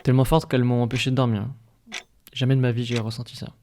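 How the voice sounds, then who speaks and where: A man's voice: mid-range voice, level tone, fast, a little mellow, natural voice. Guy acting sick worried 1950s villager in a radio play, stammers, folksy, bit of noise and ticking behind. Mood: weary, unwell. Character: ailing, anxious village working man, 1950s patient. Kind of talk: audio fiction podcast acting.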